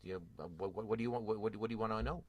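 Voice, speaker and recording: A man's voice: lowish voice, fairly even intonation, racing, darkish tone, no room sound, natural voice. A man acting in an improvised radio soap as a defensive vaudeville actor and former stunt flyer, hesitant and repeating himself. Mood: evasive, hesitant. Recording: music playing behind the voice.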